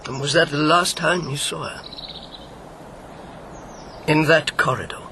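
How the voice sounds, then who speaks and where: An actor playing an urbane, needling elderly former secret agent in a radio drama, articulate and teasing. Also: male voice, on the high side, a little slow, pushed and bright, slight background noise. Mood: grave, informative. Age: 70s or older.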